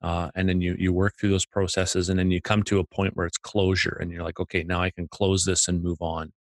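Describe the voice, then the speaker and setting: A man with a very low voice walks through his ideas step by step on a remote call. He talks methodically, pausing with ums and restarts. The sound is dry and close. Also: little pitch movement, no room sound. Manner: methodical, breaks ideas into stages, hesitant fillers. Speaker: podcast co-host. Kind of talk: explaining ideas in casual chat.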